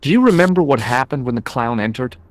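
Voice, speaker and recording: An actor playing an earnest, wry young American amateur sleuth in an adventure game, speaking with an American accent. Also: male voice, medium pitch, expressive, fast talker, dry recording, close to an everyday voice. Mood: calm, inquiring. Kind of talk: adventure game dialogue and narration.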